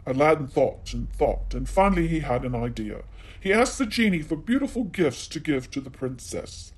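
A man storyteller reading a children's tale aloud in an animated narrating style. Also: a little high, poor audio.

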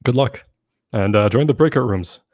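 A young man giving a casual online workshop lesson, relaxed and full of filler words, speaking into a desk mic in a small room. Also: medium pitch, normal speed, dry recording, studio quality. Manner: relaxed, filler-heavy explaining with frequent "um". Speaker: workshop presenter. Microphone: desk mic on stand, webcam.

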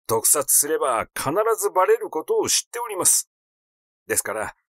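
A man's voice: on the high side, a bit bright, no echo, studio quality. A man voicing a flustered, easily irritated male doctor in a story reading, hesitant, rambling and grumbling.

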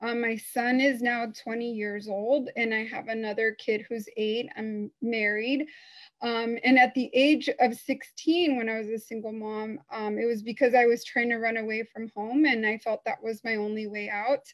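A woman in her thirties from El Salvador tells her own story over a video call. Her voice sits fairly high, and she talks openly and casually with plenty of pauses. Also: fairly even intonation. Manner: open and conversational, frequent uh and um. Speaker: foundation board member.

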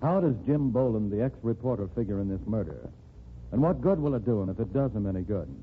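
A man announcing a 1940s radio drama in a measured, dramatic way. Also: lowish voice, expressive. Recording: tinny old radio transcription with hiss.

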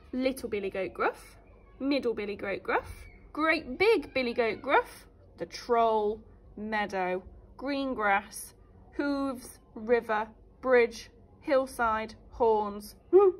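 A woman teacher reading a story aloud for young children in an expressive, emphatic way. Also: fairly high pitch, slight background noise. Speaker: primary school teacher.

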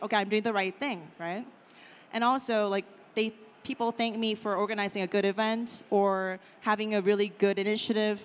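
A woman in her thirties giving a casual conference talk on stage into a handheld mic, chatty and full of "like" and "right?". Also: mid-range voice. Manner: chatty, lots of "like" and "right?" fillers. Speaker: conference speaker. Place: conference stage in a large hall. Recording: hall reverb with faint room noise.

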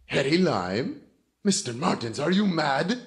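A man's voice: a little high, sing-song, unhurried. A man playing an indignant, evasive doctor in a radio drama, formal and affronted.

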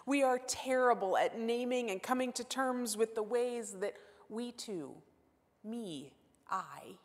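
A woman in her forties giving a sermon as guest preacher, earnest and self-deprecating, moving between emphatic lines and hushed asides. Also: on the high side, slow pace. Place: church sanctuary. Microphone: lapel mic. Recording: long church-hall reverb.

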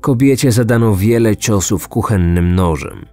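A man's voice: lowish voice, moderate pace, dark tone. A man in his thirties reading a scripted true crime podcast narration as its host. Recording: music bed under the voice. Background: background music.

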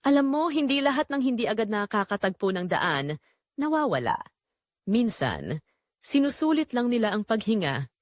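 Woman voicing a calm, thoughtful older man. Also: a little high, normal speed, no echo. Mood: calm, wise. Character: quiet older man, calm, wise café regular.

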